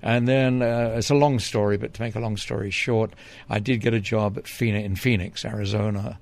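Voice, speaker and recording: A man's voice: on the low side, fairly even intonation, a little fast, vocal fry. An older man from England, a former TV reporter telling long stories as an interview guest, with many fillers and restarts. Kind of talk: relaxed storytelling in an interview.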